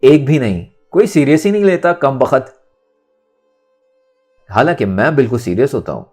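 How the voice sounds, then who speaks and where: Jovial flirt of a lawyer, about sixty, voiced by a male narrator: teasing, lively intonation, soft music. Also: mid-range voice, moderate pace, husky, voice pushed up. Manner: playful, teasing. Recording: music under the voice.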